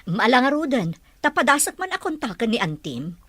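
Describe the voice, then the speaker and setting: A woman's voice: fairly high pitch, sing-song, brisk, fairly bright tone, no echo. A woman with an Ilocano accent playing an affectionate, teasing middle-aged mother in a radio drama.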